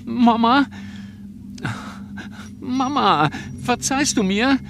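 An actor playing a guilt-ridden, gullible grieving son in an audio drama, earnest and halting. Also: male voice, extremely high pitch, gravelly, a bit bright, a little hiss or hum, heavily acted. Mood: pleading, guilt-ridden. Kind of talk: radio play acting.

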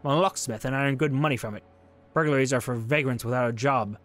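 A man's voice: mid-range voice, quick pace, a bit bright, close to an everyday voice. A man voicing an old master locksmith on trial in a game, indignant and boastful. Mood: indignant, proud.

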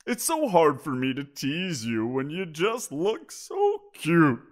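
A man's voice: fairly high pitch, wildly swooping pitch, heavily acted. A man playing a smug teasing giant creature. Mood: coaxing. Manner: playful, teasing, drawn-out. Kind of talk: audio roleplay.

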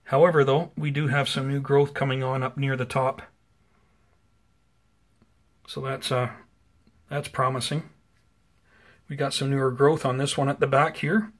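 A man's voice: medium pitch, little pitch movement, unhurried, pauses often. Casual, conversational man narrating a plant update. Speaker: host of a plant-growing video channel. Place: indoors at home beside potted seedlings.

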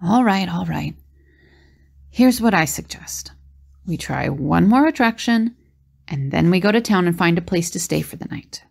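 A woman's voice: on the low side, animated, normal speed, creaky, breathy edge, full character voice. A woman playing a sensible, perceptive, worried adventurer in an audio drama. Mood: uneasy.

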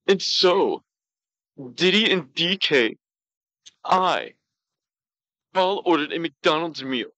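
A man voicing a pouty, self-justifying glutton who tells comic stories in a halting way. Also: a little high, expressive, bright, forceful tone, no room sound, full character voice. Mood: self-satisfied. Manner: pouty, slow, halting delivery. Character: pouty, self-justifying, gluttonous male talk show storyteller. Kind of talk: comedic in-character storytelling.

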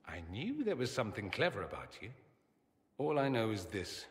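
A man's voice: lowish voice, huge pitch swings, normal speed, long reverb tail. An actor playing a witty, vain and charming young mage nobleman in video game dialogue, teasing and theatrical in manner. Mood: dry. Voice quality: arch, ironic wit. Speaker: mage companion.

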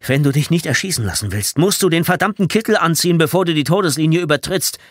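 An alarmed young airman in a prison camp, played by a male audiobook narrator. The voice is raised high and loud, urgent and indignant, with wide swings of pitch and a very dry sound. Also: animated, fast talker, dry recording. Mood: urgent scolding. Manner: urgent, forceful shouting and scolding. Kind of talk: audiobook character acting.

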